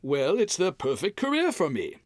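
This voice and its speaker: A man's voice: on the high side, wildly swooping pitch, normal speed, no echo, studio quality. An American man playing a bumbling, cheerful packrat husband in old-time radio comedy style, folksy and hemming as he makes excuses. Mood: folksy, chatty.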